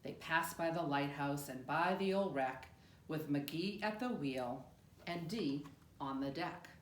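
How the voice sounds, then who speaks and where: A woman reading a rhyming picture book aloud in an expressive, measured storytelling manner with deliberate pauses. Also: low-pitched, a little hiss or hum. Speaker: storybook reader. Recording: roomy, echoing room sound.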